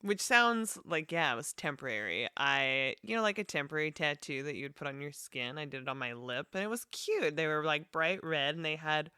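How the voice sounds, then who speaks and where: A woman's voice: low-pitched, expressive, normal speed, hardly any pauses, sharp tone, smooth, no room sound, clean studio sound. A woman co-hosting a podcast, laid-back and teasing in casual chat with her husband. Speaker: podcast co-host.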